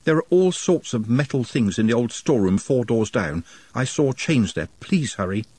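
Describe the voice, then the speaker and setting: A man voicing a meek, polite creature with a quiet, weary voice and formal, precise wording, in a storybook reading. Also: medium pitch, animated, normal speed, breathy edge, no room sound. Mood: anxious, polite. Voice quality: quiet, faint voice.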